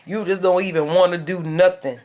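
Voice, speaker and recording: A woman's voice: lowish voice, fairly even intonation, brisk, darkish tone. A woman in her thirties talking straight to her viewers in a casual advice video, emphatic and preachy, repeating her points. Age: 30s. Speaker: YouTuber giving life advice.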